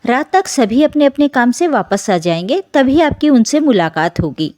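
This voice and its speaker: Woman acting gentle, placating elderly wife, mellow voice. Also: high-pitched, big pitch swings, normal speed, dry recording, studio quality. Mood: evasive, placating. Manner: soothing, placating. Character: gentle elderly wife and mother, patient and protective.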